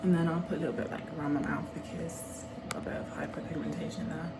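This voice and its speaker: Young brummie woman vlogging, bit nasal, lowish voice, casual chat, noisy background. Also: fairly even intonation, fast talker. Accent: Birmingham. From Birmingham, England. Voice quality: slightly nasal. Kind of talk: casual chat to camera while getting ready. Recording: clearly noisy room background.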